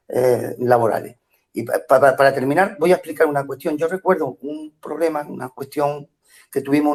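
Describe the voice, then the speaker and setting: A man from Spain speaking up in an online audio space, telling a union experience in an animated way with many restarts. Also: a little high. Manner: animated storytelling with repeated restarts. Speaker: union member caller. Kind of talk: casual call-in comment in an audio space.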